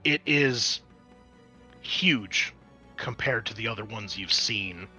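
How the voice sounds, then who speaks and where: A man's voice: on the low side, lively intonation, takes it slowly, sharp tone, breathy edge, faint music behind. A man running a tabletop roleplaying game as game master, switching between conversational rules talk and dramatic scene description.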